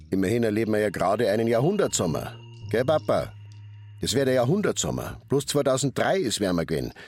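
A man's voice: low-pitched, breathy edge, heavily acted. An actor playing a talkative middle-aged hairdresser, a fisherman's son, with a Bavarian accent in a radio drama. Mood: chattering, eager. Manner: talkative, colloquial narrating. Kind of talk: radio drama monologue and dialogue.

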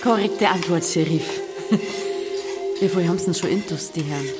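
A woman's voice: fairly low pitch, measured pace, loud music behind the voice, natural voice. A woman playing a stubborn, cheeky village innkeeper in an audio drama, speaking direct, bantering Bavarian dialect.

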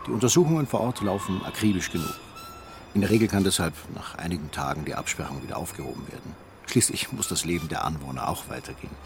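An actor playing a matter-of-fact police official who gives a formal, defensive statement in a radio play, explaining procedure. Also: male voice, on the low side, a little hiss or hum.

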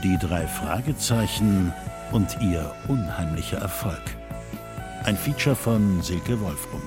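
A man's voice: low voice, expressive, slow. A man reading scripted radio credits as an announcer. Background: music.

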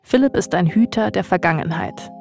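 A woman's voice: lowish voice, animated, normal speed, creaky, darkish tone, soft background music. A woman, narrating a scripted podcast as its host.

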